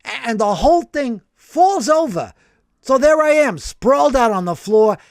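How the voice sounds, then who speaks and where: A man voicing a brash, excitable older working-class man who tells his story in animated slang. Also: extremely high pitch, measured pace, no echo, clean studio sound, pitched above the performer's own voice, full character voice. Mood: excited.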